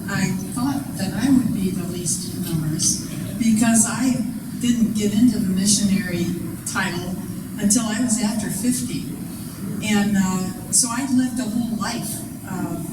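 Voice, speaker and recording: A woman's voice: mid-range voice, a little slow. An older woman, a former missionary, telling her story in a chatty, self-deprecating way at a public talk. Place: museum event hall. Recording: echoey room from far off, loud noise.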